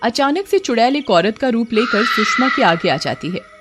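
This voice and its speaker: A woman's voice: fairly high pitch, lively intonation, moderate pace, loud music behind the voice. A woman storyteller giving a dramatic scripted narration of a horror tale.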